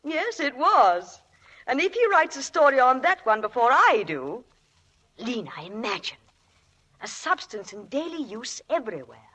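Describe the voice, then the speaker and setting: A self-assured woman crime writer from an old radio thriller. She is arch and teasing, with a fairly high voice that swings animatedly. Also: very animated, unhurried, a bit bright, husky, heavily acted. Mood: confiding, relishing. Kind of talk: vintage radio drama acting.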